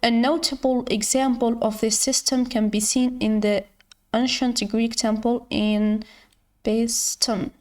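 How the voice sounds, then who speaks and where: A woman who is not a native English speaker, hosting an informal screen-share tutorial in a halting way, often repeating and correcting her words. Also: on the high side, flat delivery, a little mellow. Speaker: tutorial host.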